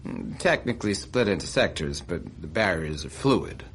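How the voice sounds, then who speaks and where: A man's voice: deep voice, expressive, moderate pace, a little hiss or hum. An actor playing an earnest, somewhat hesitant male intelligence officer in a naturalistic radio drama. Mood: worried. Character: Richard Helms, earnest male CIA officer seeking advice.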